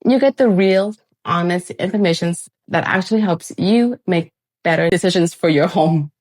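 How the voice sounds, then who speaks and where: A woman in her forties introduces the family renovation company she co-founded. Her voice sits a little lower than most women's, and her delivery is upbeat and clear. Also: normal speed, darkish tone. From born in Thailand, raised in Canada. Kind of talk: scripted channel introduction.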